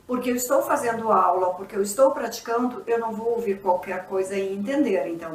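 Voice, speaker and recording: A native Portuguese-speaking woman teaches English in a patient, explanatory way, pausing to check in with her students. Her voice sits a little high. She sounds a bit away from the mic, in a room with a noticeable echo. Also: distant mic. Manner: didactic, checks in with frequent tag questions. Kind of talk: explanatory video lesson.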